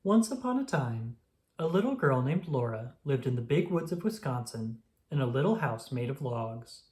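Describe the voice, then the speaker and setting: A storyteller reading a children's picture book aloud in a slow, gentle manner. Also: mid-range voice.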